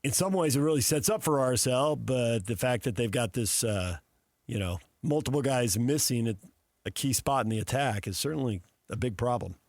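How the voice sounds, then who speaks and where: Man, American accent, sports radio host, mid-pitched, emphatic explanatory delivery, conversational analysis, dry close-mic sound. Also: normal speed, a little mellow, no echo. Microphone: close studio microphone.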